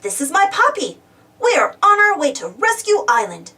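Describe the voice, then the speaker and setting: Woman, high-pitched, lively swooping intonation, expressive read-aloud for kids, questioning and exclamatory, distant mic. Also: normal speed. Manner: animated, exclamatory, addresses young listeners with questions. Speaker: storybook reader.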